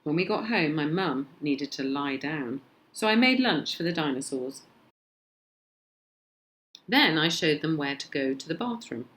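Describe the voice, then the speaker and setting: A woman with a British accent reading a children's storybook aloud in an expressive narrating style. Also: low voice, measured pace, pauses often, rough recording. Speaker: storybook reader.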